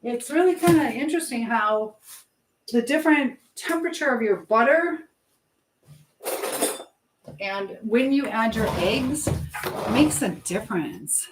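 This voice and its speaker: Higher-voiced woman in an echoey, noisy room. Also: lively intonation, measured pace, long pauses. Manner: informal, rambling, reading out and answering comments. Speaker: livestream host chatting with viewers. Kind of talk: casual live chat while baking. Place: home kitchen. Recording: echoey room with clear background noise.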